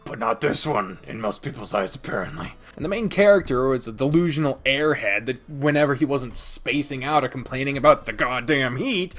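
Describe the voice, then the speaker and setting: A man's voice: medium pitch, lively intonation, brisk, few pauses, a bit bright, faint music behind. A man giving a casual, opinionated video review, speaking bluntly and emphatically with exasperation and plenty of swearing. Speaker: video host reviewing games.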